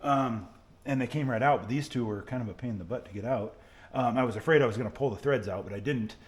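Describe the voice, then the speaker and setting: A man's voice: medium pitch, fast. A man giving a casual how-to while he works, thinking aloud with some hesitation. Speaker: car mechanic hobbyist, channel host. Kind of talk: casual hands-on how-to narration while working. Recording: noisy room, voice drifting off mic.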